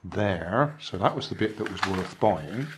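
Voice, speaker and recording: An older man talks through his latest charity shop finds in a dry, musing way, with plenty of asides. His voice sits on the low side, and a faint noise runs under the recording. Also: lively intonation, a little slow. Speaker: vlog host reviewing charity shop finds. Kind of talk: casual show-and-tell narration. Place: indoors at a table, hands on camera.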